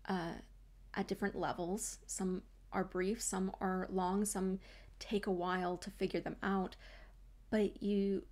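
A woman in her thirties speaks reflectively to her camera in a small room at home. Her mid-range voice carries a slight vocal fry, and she restarts her sentences often. She talks like a coach working through an idea. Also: mid-range voice, level tone, a little slow. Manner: thoughtful, gestures a lot, frequent restarts and soft trailing asides.

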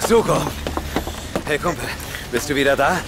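A man's voice: medium pitch, normal speed, heavy background noise, lightly acted. An actor playing a tough, determined monster hunter who narrates his audio drama in a terse, grim way. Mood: urgent. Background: loud dramatic music.

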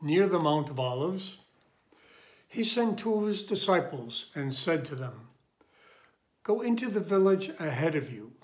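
Slow, higher-voiced older man reading. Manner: measured, deliberate. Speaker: lay scripture reader. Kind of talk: scripture reading. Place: indoor room at home.

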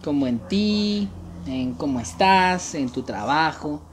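A man, speaking haltingly and coyly on a casual prank phone call. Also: on the high side, expressive, slight background noise. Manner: hesitant, halting, coy. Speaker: prank caller and channel host. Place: small room indoors.

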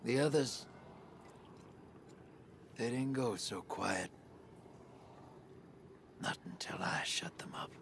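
An actor playing a grizzled, wry male drifter in a game cutscene, drawling slowly and dropping to a hushed rasp. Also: mid-range voice, animated, in a whisper. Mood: grim. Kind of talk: video game cutscene acting. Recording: game audio with noisy ambience.

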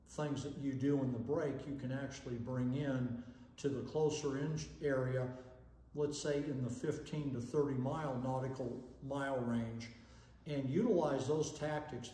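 An older man explains fishing tactics in a folksy, instructive manner. His voice sounds mellow. The recording is roomy, with a long reverb tail from the indoor space. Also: medium pitch. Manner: folksy, explanatory, checks in with "okay?". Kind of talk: instructional talk to camera. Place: indoor room with fireplace and banner. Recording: echoey room, long reverb.

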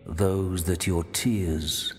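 An actor playing a solemn, ominous ancient preceptor in a video game, speaking with grave, portentous weight. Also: male voice, low voice, steady tone, very slow. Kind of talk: scripted video game villain monologue. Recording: long cavernous reverb, music underneath. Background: music.

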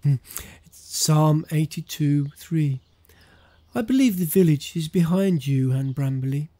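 A man acting a pious, measured village vicar in an audio drama. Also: on the high side, mellow, close to an everyday voice. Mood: gently solemn.

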